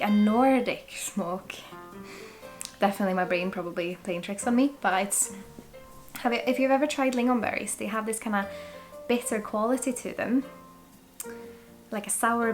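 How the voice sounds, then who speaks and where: A woman in her twenties from Sweden with a Swedish accent, chatting excitedly and thinking aloud as she reviews a whisky. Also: fairly high pitch. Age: 20s. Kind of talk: casual tasting review.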